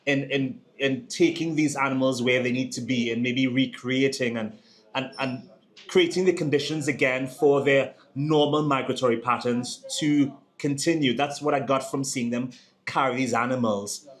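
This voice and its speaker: A man's voice: medium pitch, fairly even intonation, moderate pace. A man hosting an interview, asking long conversational questions and searching for words with fillers. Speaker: host.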